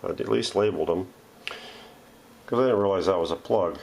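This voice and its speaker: Low, gravelly man showing his workshop project. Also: a little fast, darkish tone. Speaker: host of a vintage radio restoration channel. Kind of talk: casual hands-on workshop vlog, unscripted show-and-tell. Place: home living room and workshop, indoors.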